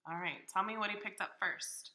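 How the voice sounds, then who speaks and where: A woman reading a picture book aloud to young children in an expressive, deliberate, teacherly way. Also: medium pitch. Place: indoors in a hallway of a home. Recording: echoey room in a house.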